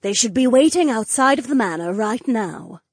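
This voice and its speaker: A man's voice: very high-pitched, animated, moderate pace, dry recording, clean studio sound, voice pushed up, heavily acted. A man putting on a poised, decisive noblewoman. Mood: matter-of-fact. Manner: composed and decisive. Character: strong-willed, assertive noblewoman, devoted lover.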